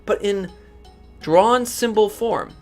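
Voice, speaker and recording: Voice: mid-range voice, slow pace, a little mellow, lightly acted. A storyteller voicing a small, determined young dog who talks earnestly and hopefully, with faint music behind. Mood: eager.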